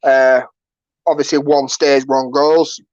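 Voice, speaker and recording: A man's voice: medium pitch, flat delivery, slow, darkish tone, no echo. A man chatting casually as a panellist on a football fan show. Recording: dull, dry lo-fi audio.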